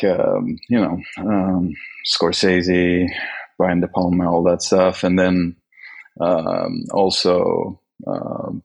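A man from Sweden answering interview questions as a guest. He talks slowly and haltingly, with many pauses and restarts. His voice is very deep and gravelly. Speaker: guest filmmaker.

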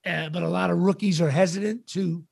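A middle-aged man with a raspy voice, chatting casually as an interview guest in a wry, self-deprecating, halting way. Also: high voice, steady tone, darkish tone, no echo, studio quality. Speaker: podcast guest, actor and comedian.

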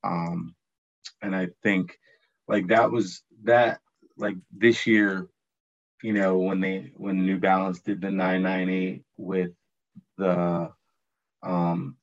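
A man's voice: low-pitched, flat delivery, slow pace, pauses often. A man talking casually in a hesitant, thoughtful way, on a slightly dull recording. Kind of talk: casual conversation.

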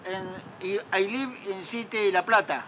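A man with an Argentine Spanish accent, a clown and accordionist, answering interview questions haltingly and slipping into broken English. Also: high voice, pushed and bright, faint music behind. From Entre Ríos province, Argentina. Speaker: street clown and accordion player being interviewed. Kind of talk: casual spoken interview.

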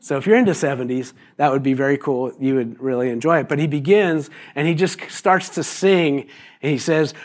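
A man in his fifties, a pastor, preaching a sermon in a loose, conversational way, his voice a little raspy today, with many fillers and restarts. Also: medium pitch, fairly quick. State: raspy voice this morning.

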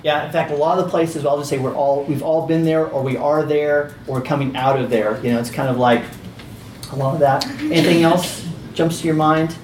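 A man's voice: steady tone, fairly quick, darkish tone, slight background noise. A man teaching a casual class, talking in a chatty way with lots of fillers and restarts. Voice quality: squeaky. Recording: roomy, distant, faint ambience.

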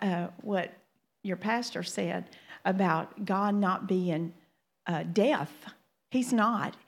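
A woman in her sixties from Louisiana, with a Louisiana accent, chatting to a church congregation as a minister's wife, homey and quick to laugh. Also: medium pitch, fairly bright tone. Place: church sanctuary.

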